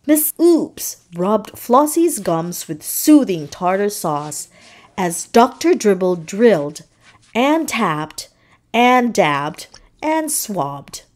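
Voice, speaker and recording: A woman reading a children's storybook aloud as narrator, with dramatic, deliberate phrasing. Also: medium pitch, sing-song, a little mellow.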